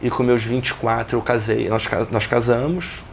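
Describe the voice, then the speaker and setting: Gravelly Brazilian man telling his story. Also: mid-range voice, normal speed, slight background noise. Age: 40s. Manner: reflective, steady storytelling with business jargon. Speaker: business owner guest.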